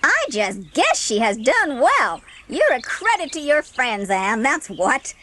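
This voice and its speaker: Woman playing a forceful, folksy village busybody. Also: high-pitched, huge pitch swings, slow pace, slight background noise, pitched above the performer's own voice, heavily acted. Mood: proud, emphatic. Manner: emphatic, folksy, declarative. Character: forthright, opinionated older village neighbour woman.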